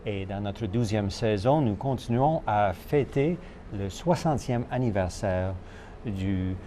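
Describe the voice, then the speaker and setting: A man's voice: on the low side, expressive, takes it slowly, mellow, a little hiss or hum. Chatty man hosting a bilingual TV show. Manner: jovial, laughs at own stumbles, many fillers. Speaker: co-host. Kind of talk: casual TV talk show chat.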